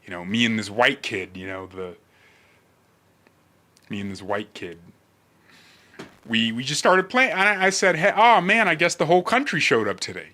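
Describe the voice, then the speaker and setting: A man rambling casually and comedically to livestream viewers in a brash, mocking, animated manner. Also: medium pitch, normal speed, long pauses.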